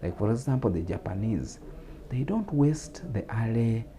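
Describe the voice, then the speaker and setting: A man's voice: mid-range voice, animated, moderate pace, warm tone, a little hiss or hum. A man from Uganda with a Ugandan English accent, a guest on a talk show, explaining steadily with repetitions and fillers.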